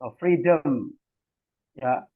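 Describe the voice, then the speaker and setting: A man's voice: medium pitch, drawn-out words. A man from Central Java gives an informal lecture, chatty and slangy, often checking that listeners follow. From Cilacap, Central Java, Indonesia. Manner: conversational, repeatedly adds checking tags while explaining. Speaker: academic discussion host and speaker. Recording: tinny phone-like online audio.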